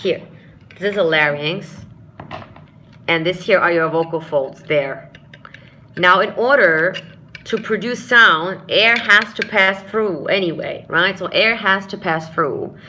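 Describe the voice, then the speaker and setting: Low-voiced woman vocal coach teaching live. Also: slow pace, slight background noise. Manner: explanatory, demonstrates sounds and short sung phrases while teaching; addresses viewers warmly as friends and dears. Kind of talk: live-streamed singing lesson answering chat questions.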